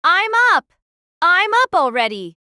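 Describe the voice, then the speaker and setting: A woman playing a lively young dorm student. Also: sits high, measured pace, no echo, studio quality, full character voice. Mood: flustered. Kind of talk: audio drama acting.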